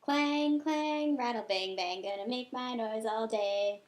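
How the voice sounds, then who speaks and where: A woman's voice: on the high side, slow. A woman playing a small mischievous boy from a children's story, chanting in sing-song on a noisy home recording. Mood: playful, defiant.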